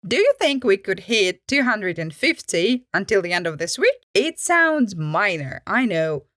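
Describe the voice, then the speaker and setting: Animated woman reading stories, lively swooping pitch. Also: unhurried, no room sound, studio quality. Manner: animated, informal. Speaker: host and narrator of a story-reading channel. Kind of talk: expressive storytime read-aloud with personal reactions. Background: background music.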